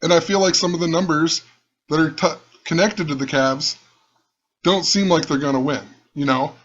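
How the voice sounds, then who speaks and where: A man with a rough, fairly high voice talks in a casual, rambling way and keeps coming back to the same points as he thinks out loud. The recording sounds dull and lo-fi. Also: steady tone, moderate pace. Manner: musing, rambling, repeats himself. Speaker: channel host. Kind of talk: casual screen-share commentary.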